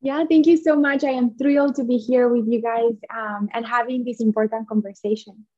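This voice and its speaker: A woman's voice: fairly high pitch, steady tone, fairly quick, darkish tone. Colombian woman on a muffled video call. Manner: earnest, talks with her hands. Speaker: guest, coffee company founder. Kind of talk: remote interview. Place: small bright room at home.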